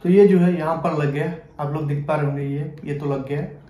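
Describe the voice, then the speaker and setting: A man's voice: a little high, fairly even intonation, fairly quick, warm tone. A young man giving a casual, repetitive hands-on product demonstration to camera, mixing in English words. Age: young adult. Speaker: product review vlogger. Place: small bedroom, indoors. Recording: roomy echo.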